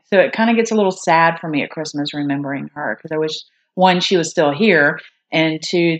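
Low-voiced woman podcast co-host. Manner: plainspoken, quick to laugh and joke. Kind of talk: casual chat.

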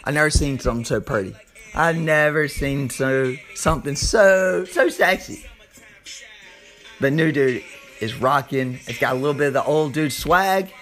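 A man with a Southern US accent and a slightly hoarse voice, hosting an informal sports podcast like a hyped showman, stretching words and repeating phrases. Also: a little high, moderate pace, a bit bright, faint music behind. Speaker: podcast host.

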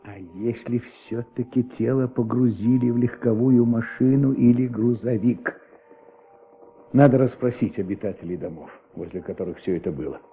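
Middle-aged police inspector in old soviet radio play, very dark husky voice, brooding, methodical, thinks out loud. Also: male voice, mid-range voice. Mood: pondering. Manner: thinking aloud, deliberate questioning. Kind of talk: Soviet radio drama acting. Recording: old Soviet radio recording, faint music.

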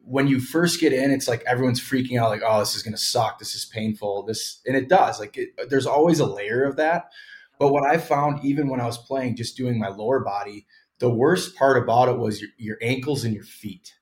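A man's voice: medium pitch, a little fast. A man in his thirties, a former pro hockey player, explaining things casually as a podcast guest and hedging his claims. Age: 30s. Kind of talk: casual interview chat.

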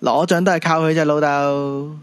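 A man's voice: a little high, fairly even intonation, moderate pace, smooth, no echo, lightly acted. A man with a Hong Kong Cantonese accent playing a cheeky, upbeat young son in a radio drama, probing and matter-of-fact.

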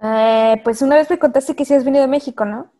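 A woman's voice: high-pitched, fast talker, dark tone. A young woman hosting a casual faith podcast, chatty and full of fillers. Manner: chatty, laughs often, many fillers. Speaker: podcast host.

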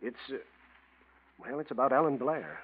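An actor in 1940s radio acting, playing a gentle, worried middle-aged husband who stammers and hesitates. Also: male voice, medium pitch, very animated, moderate pace, breathy edge. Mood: hesitant. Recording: muffled old radio broadcast with faint hiss.

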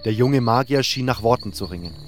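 A man delivers scripted first-person fantasy narration. His voice is of medium pitch. Music is clearly present behind the reading. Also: fairly quick. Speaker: audiobook narrator.

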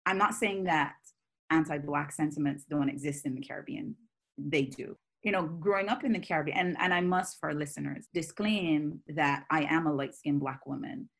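A woman speaks in a voice that sits very low for a woman. She is a scholar guest in an interview, outspoken and animated, running through long, connected thoughts. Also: normal speed, gravelly. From Barbados. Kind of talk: conversational interview.

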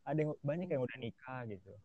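A man's voice: mid-range voice, animated, quick pace, breathy edge. A teenage man with a Jakarta Indonesian accent chatting casually as a podcast guest, slangy and self-deprecating. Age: teens. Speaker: podcast guest, music student. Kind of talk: casual chat. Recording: dull, muffled lo-fi audio.

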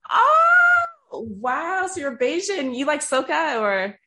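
Woman host asking quick, lively questions. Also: sits high. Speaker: podcast host. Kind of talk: casual interview chat.

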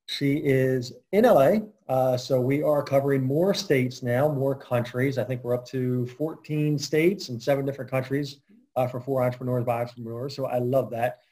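A man's voice: mid-range voice, fairly even intonation, moderate pace, dark tone. A man hosting an interview podcast, talking casually with a lot of fillers and restarts. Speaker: podcast host. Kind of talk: conversational interview.

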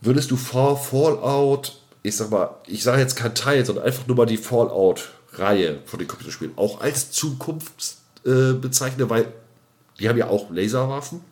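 A man's voice: mid-range voice, animated, normal speed, darkish tone. A middle-aged man co-hosting a podcast in loose, casual conversation, repeating words as he thinks aloud. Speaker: podcast co-host. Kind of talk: casual unscripted chat.

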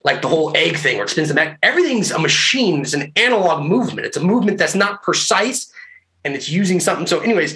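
A man talking casually on a video call, rambling from one thing to the next and cursing freely. His voice sits a bit high for a man and sounds bright and forceful, and he laughs often. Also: big pitch swings, quick pace. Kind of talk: casual chat.

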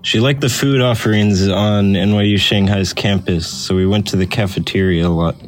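A man's voice: on the low side, steady tone, normal speed, soft background music. A man reading a personal essay aloud as scripted narration. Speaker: essay reader.

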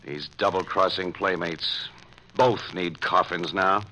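An actor playing a wry, unflappable newspaper crime photographer in a 1940s radio drama, with a dry, wisecracking manner. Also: male voice, sits low, fairly even intonation, takes it slowly, heavy background noise. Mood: dry, detached. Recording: old radio recording, music behind.

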